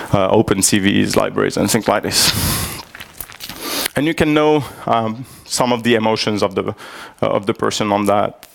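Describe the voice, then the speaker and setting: Gravelly man giving a tech talk. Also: on the low side. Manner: hesitant, many fillers and restarts. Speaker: tech conference speaker. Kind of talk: live technical presentation. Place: conference hall.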